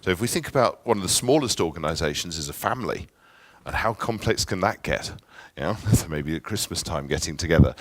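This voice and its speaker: Man, English accent, middle-aged, very low voice, dry close sound, chatty workshop presenter. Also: a bit bright, dry recording. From England. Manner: friendly, chatty, with asides and filler ums. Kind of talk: conference workshop talk.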